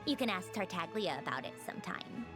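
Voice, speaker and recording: Poised, expressive, teasing woman. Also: lowish voice, fairly quick, over music. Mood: knowing, explanatory. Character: poised, teasing, self-assured woman, knowing gossip over tea. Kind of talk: video game dialogue acting.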